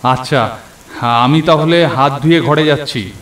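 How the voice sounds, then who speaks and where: A man's voice: medium pitch, measured pace, fairly bright tone, lightly acted. A man playing a young nephew who narrates a horror cartoon story in a dramatic way. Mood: easy, affectionate. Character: young nephew narrating his night of terror. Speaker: narrator and character. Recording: roomy echo, faint rain and thunder.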